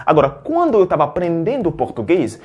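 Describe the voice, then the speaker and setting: A man's voice: fairly high pitch, huge pitch swings, fairly quick, a little mellow, husky, muffled recording. A man who grew up speaking teaches a language lesson in Portuguese, explaining step by step and checking in with the learners. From a French-speaking country. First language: French. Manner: explanatory, keeps checking in with "beleza?" and rephrasing with "ou seja". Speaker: French teacher.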